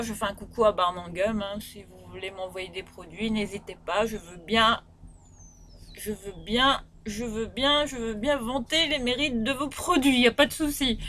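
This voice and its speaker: A woman's voice: medium pitch, a bit bright. A woman with a Réunion Creole French accent, chatting casually as she reviews beauty products in her bedroom, rambling with lots of fillers and restarts. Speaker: beauty vlogger.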